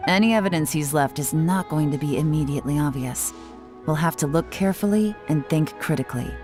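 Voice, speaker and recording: A woman playing a shrewd, composed, commanding spymaster in a video game, measured and curt. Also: sits low, natural voice. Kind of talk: video game character acting. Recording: clear music behind.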